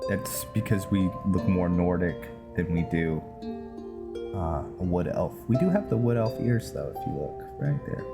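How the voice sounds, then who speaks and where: Casual male gamer over loud game music. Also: deep voice, animated, unhurried. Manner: informal, thinking aloud. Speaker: gaming host and role-player. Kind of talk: casual playthrough commentary with roleplay. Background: game music, rain sounds.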